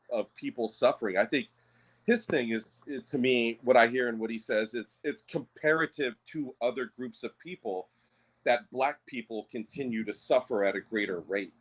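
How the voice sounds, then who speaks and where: A Native Hawaiian man talking things through on a podcast, hesitant, with many fillers and restarts. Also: mid-range voice. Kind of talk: casual discussion.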